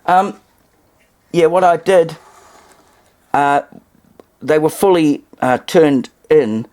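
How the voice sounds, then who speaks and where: A New Zealand man talks in a fairly high voice. He rambles casually through a hands-on demonstration with a wry sense of humour. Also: takes it slowly, darkish tone. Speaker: hobbyist presenter.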